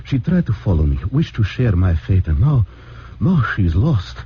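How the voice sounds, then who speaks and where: An actor playing an anxious, devout immigrant father who speaks in halting, formal, stammering phrases. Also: lowish voice, very animated, husky, heavily acted. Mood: panicked. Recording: muffled old radio broadcast.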